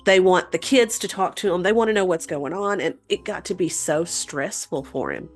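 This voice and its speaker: A woman's voice: fairly low pitch, moderate pace, faint music behind. A woman co-hosting a talk show, telling a story in a conversational way. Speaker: podcast host telling a paranormal story.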